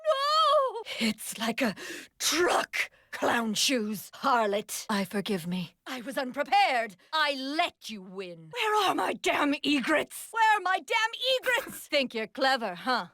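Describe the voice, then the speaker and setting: An actress voicing a brash, haughty, short-tempered royal fighter in a video game, with an imperious, taunting delivery. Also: female voice, high-pitched, sing-song, a little slow, few pauses, pushed and bright, no echo, clean studio sound, exaggerated voice. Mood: combative, irritated. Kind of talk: video game character battle barks.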